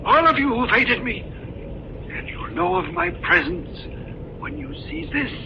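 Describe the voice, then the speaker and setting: An actor playing a dying, vengeful old uncle with theatrical menace in a 1940s radio horror play. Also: male voice, extremely high pitch, measured pace, gravelly, full character voice. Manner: theatrical, threatening, wildly swooping delivery. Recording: muffled, noisy old radio recording.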